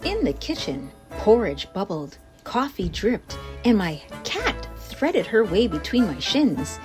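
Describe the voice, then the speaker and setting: Animated, slow-paced woman reading to children. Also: medium pitch. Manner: playful, exaggerated emphasis on descriptive words. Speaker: children's read-aloud host. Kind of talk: animated children's storybook narration. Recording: music playing behind the voice. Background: music.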